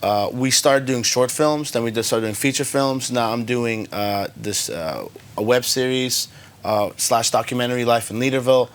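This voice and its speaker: A man's voice: medium pitch, normal speed, a little hiss or hum. Guy from germany, indie filmmaker guest, middle voice, lots of uh and um, laid back. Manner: relaxed, hesitant, many fillers. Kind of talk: casual interview answers.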